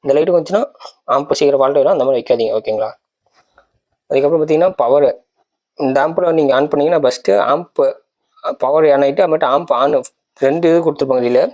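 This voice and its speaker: A man's voice: on the high side, fast, long pauses, a little mellow. A man giving a casual product walkthrough, explaining step by step and often checking that listeners follow. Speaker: tech channel presenter.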